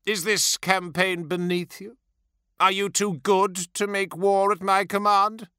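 Vain warlord tyrant, raised pitch, forceful edge, theatrical snarling, wrathful audiobook villain. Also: male voice, level tone, unhurried, smooth, no echo, studio quality. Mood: anguished reproach. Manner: theatrical, spitting, snarling outbursts. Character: imperious, vain, wrathful superhuman warlord, swinging to wounded misery. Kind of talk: dramatic audiobook character voice.

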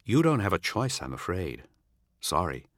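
A man's voice: low voice, very animated, normal speed, fairly bright tone, dry recording, clean studio sound. A man doing a smug, needling old soldier. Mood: insistent. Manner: needling, insistent. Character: smug, charming male old soldier comrade.

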